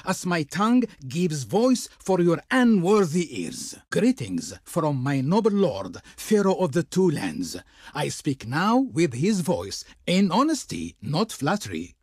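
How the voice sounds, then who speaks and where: An actor voicing a haughty ancient Egyptian envoy of the Pharaoh in a video game, speaking in florid, pompous, ceremonious declamation. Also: male voice, a little high, darkish tone, breathy edge, dry recording, studio quality, full character voice. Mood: imperious. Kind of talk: theatrical scripted game diplomacy lines.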